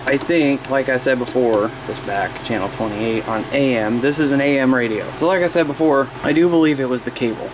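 Casual man vlogging over noisy background. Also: medium pitch. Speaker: hobbyist vlogger. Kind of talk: casual vlog chat. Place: outdoors in a yard, later a small room indoors. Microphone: camera at arm's length. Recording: noisy camera audio with background hum.

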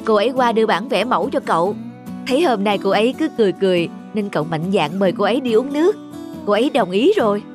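A woman voicing a young bachelor uncle, flustered and quick to scold his niece, in a cartoon. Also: medium pitch, animated, natural voice. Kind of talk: cartoon voice acting. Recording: music underneath.

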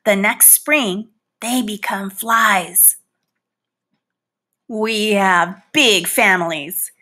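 Storybook housefly, acted by a woman: indignant, easily hurt, boastful, theatrical; slow, forceful bright delivery. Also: medium pitch, voice pushed down, heavily acted. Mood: proud, explaining. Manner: theatrical, pleading, mock-wounded and boastful. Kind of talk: storybook read-aloud in character.

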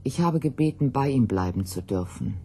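A mellow voice shares a personal reminiscence in short, plain sentences. The manner is sober and reflective, with music playing behind it. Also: mid-range voice, lively intonation, normal speed.

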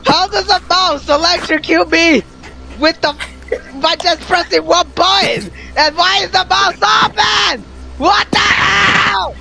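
A man yelling in pain and outrage on gaming voice chat, swearing loudly. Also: very high-pitched, slow pace, gravelly, poor audio. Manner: loud, foul-mouthed, outraged.

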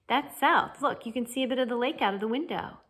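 A woman's voice: a little high, fast, a bit bright, breathy edge, voice pushed up. A woman voicing a blunt, argumentative teenage schoolboy who exclaims in disbelief, reading a storybook. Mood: uneasy, hurried. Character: teenage schoolboy, blunt, incredulous best friend.

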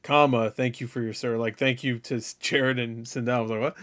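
A man giving casual, profane reaction commentary with joking, deliberately dim asides. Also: medium pitch, steady tone. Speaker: reaction video host. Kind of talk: casual, off-the-cuff reaction commentary on game clips. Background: video game dialogue and music.

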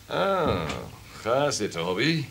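An actor playing a domineering, calculating radio station boss in a Dutch radio drama, with a pompous, commanding manner. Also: male voice, medium pitch, sing-song, takes it slowly, a bit bright, a little hiss or hum, heavily acted. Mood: lecturing, sure. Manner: pompous, commanding, throat-clearing.

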